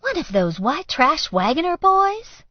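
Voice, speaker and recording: A prim old small-town gossip, played by a woman narrator, pitched up and indignant. She is nosy and disapproving, in a dry, slightly dull audiobook recording. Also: very animated, unhurried, no echo.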